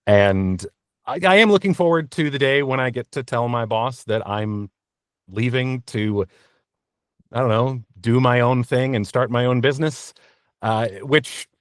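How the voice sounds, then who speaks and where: A man answering interview questions candidly as a voice actor, in an earnest, reflective and halting conversational manner. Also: medium pitch, expressive, a bit bright, no echo, clean studio sound. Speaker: interview guest, voice actor and narrator.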